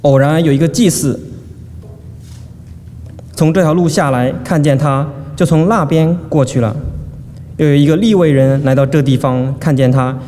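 A man reading scripture aloud at a service, steady and deliberate. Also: fairly high pitch. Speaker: scripture reader. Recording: long hall reverb, faint room noise.

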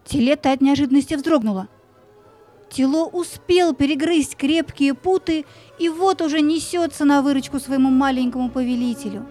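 High, mellow woman storyteller. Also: moderate pace, faint music behind. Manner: expressive, suspenseful. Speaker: narrator. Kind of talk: dramatic scripted storytelling.

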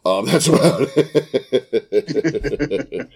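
A man with a smoker's voice hosting a casual podcast chat, swearing freely and telling animated stories. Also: medium pitch, very slow, slightly rough. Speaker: podcast host.

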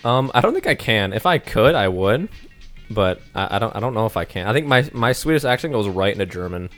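A man's voice: lowish voice, lively intonation, brisk, a bit bright, faint music behind. A young man in his twenties chatting casually on a podcast, hesitant, full of likes and ums, often laughing. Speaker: guest pitching a show idea.

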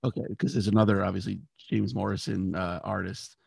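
Gravelly host fighting a failing voice. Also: male voice, low-pitched, steady tone, a little fast, a little mellow, no room sound, clean studio sound. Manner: enthusiastic, chatty interviewer. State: losing his voice. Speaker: podcast host. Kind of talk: casual interview.

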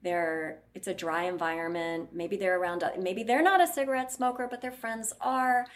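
A woman's voice: medium pitch, moderate pace. A woman voice clinician answering interview questions bluntly, explaining at length and acting out what her patients say.

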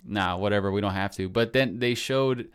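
A man's voice: lowish voice, moderate pace, clear, resonant tone. A young man co-hosting a podcast, chatting casually, swearing offhandedly and acting out his own thoughts. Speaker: podcast co-host. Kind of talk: casual chat.

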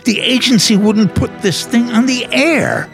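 A man's voice: very high-pitched, a little slow, creaky, soft background music, full character voice. A man acting in a radio drama as a defiant, scornful editor, speaking in a commanding, dramatic way. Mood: dismissive, challenging.

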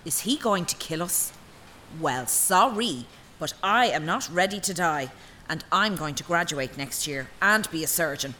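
An actress playing an anxious, indignant young student daughter in a radio drama, pleading urgently in an Irish accent. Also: female voice, on the low side, expressive, a little slow, bright, forceful tone, slight background noise. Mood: frightened, indignant. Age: young adult. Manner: pleading, urgent, repeating herself.

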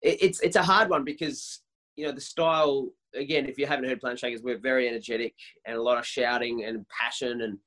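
A man in his thirties with a higher voice, a worship leader talking casually and eagerly in an interview, often restarting his sentences. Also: steady tone, a little fast, fairly bright tone, poor audio. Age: 30s. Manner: enthusiastic, many restarts and repeated words.

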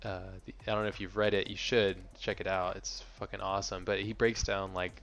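A man's voice: low voice, flat delivery, brisk, a bit bright. A man in his thirties from Hawaii, hosting a podcast in loose, laughing casual chat, swearing freely and rambling. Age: 30s. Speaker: podcast host.

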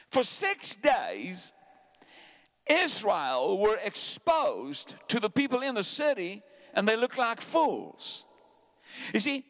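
A South African man preaching a sermon to his church, dramatic, dropping to hushed asides and then climbing loudly. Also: sits high. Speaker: pastor.

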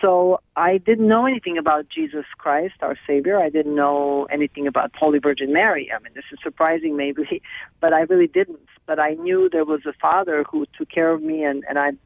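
A middle-aged woman from Serbia, speaking as a guest over the phone in an earnest, halting way with many restarts. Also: sits low, lively intonation, gravelly, no echo. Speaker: film director and guest. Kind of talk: phone interview. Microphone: telephone. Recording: tinny dry phone line.

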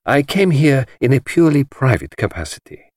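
Man acting older foreign gentleman sleuth, polite, self-satisfied, precise diction, animated melody, dry studio sound. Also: medium pitch, moderate pace, no room sound, voice pushed up. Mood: courteous. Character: courteous, vain older male foreign detective. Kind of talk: audiobook character voice.

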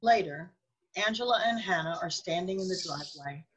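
A woman's voice: lowish voice, expressive, brisk, slightly rough, poor audio. A woman reading scripted narration for a radio drama, describing scenes as the narrator.

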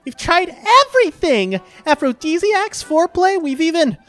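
A man's voice: extremely high pitch, sing-song, normal speed, fairly bright tone, voice pushed up, heavily acted. A man voicing a nagging, impatient cartoon woman who squeals, scolds and pleads. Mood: pleading.

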